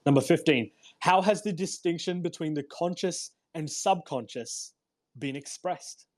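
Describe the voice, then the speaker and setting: A man with a husky voice hosting a read-aloud, delivering a book in an emphatic, deliberate way with informal commentary. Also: on the high side. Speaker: host reading a self-help book aloud. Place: live online audio room broadcast.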